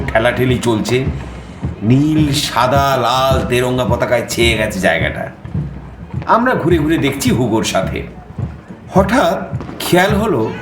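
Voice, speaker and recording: A man's voice: mid-range voice, roomy sound. An actor playing a theatrical raconteur who tells his own travel adventure as a dramatised audio story. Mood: animated storytelling. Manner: expressive, theatrical. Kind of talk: dramatised audio story narration in first person. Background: background music.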